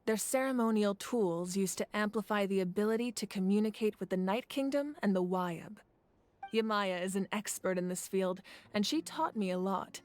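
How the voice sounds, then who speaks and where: An actress playing a composed, proud warrior woman in a video game, recounting tales in a measured, thoughtful way. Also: medium pitch, a little mellow, natural voice. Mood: fond, explanatory. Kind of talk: video game story dialogue.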